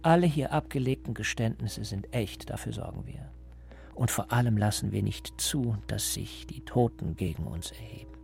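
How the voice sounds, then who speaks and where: An actor playing a coldly patient, menacing party interrogator who lectures deliberately and insistently in a radio drama. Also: male voice, fairly low pitch, vocal fry, darkish tone, faint music behind. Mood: cold, lecturing. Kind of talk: German radio drama acting.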